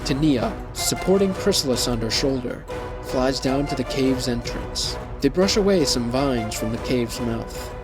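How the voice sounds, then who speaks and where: A man reading scripted story narration in a measured, descriptive manner. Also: medium pitch, expressive, music in the background.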